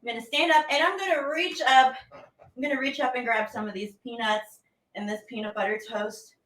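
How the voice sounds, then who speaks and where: A woman leading a children's movement warm-up as a teaching artist, playful and exclaiming, stretching out her words as she gives simple instructions. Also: fairly high pitch, steady tone, a little fast. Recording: roomy echo, distant from the mic.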